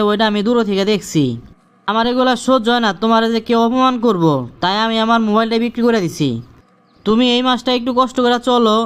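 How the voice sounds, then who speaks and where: A man's voice: very high-pitched, a bit bright, smooth. A man voicing an earnest, devoted teenage son in a cartoon, with a Bangladeshi accent. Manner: earnest, reassuring. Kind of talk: cartoon voice acting.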